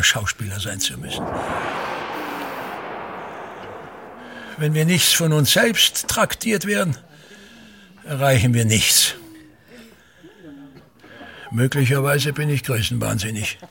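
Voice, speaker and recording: A man's voice: medium pitch, creaky, background noise. An older man playing a pompous, bitter, grandiose actor-manager and father in a radio play, with declamatory, ruminating delivery. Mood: self-important. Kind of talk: German radio play acting.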